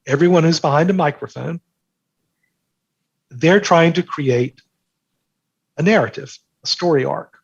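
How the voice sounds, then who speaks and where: A man's voice: fairly high pitch, husky, dry recording, muffled recording. A man talking as an interview guest, explaining things conversationally and emphatically, repeating phrases for effect. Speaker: interview guest, markets newsletter author.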